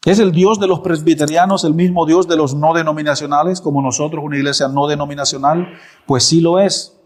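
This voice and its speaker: A man's voice: on the high side, steady tone, fairly quick. A man in his thirties preaching a sermon in a church, asking rhetorical questions and answering them emphatically. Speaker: pastor.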